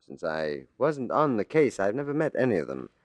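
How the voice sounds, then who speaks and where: An actor playing a hard-boiled, shrewd, musing police detective in an old-time radio mystery. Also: male voice, on the low side, big pitch swings, normal speed, dry recording. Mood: musing, probing.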